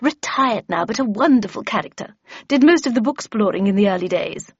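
A woman's voice: medium pitch, animated, fairly quick, breathy edge, no room sound. Woman doing a haughty fierce older-order mentor lady, commanding, storybook reading, very dry slightly muffled sound. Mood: explaining.

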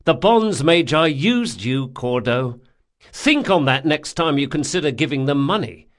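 A man narrating an audiobook, voicing a brash, sardonic thief who speaks forcefully, with menace and exasperation. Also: fairly high pitch, expressive, measured pace, pitched above the performer's own voice. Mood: angry, threatening. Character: brash, sardonic male thief, threatening, foul-mouthed.

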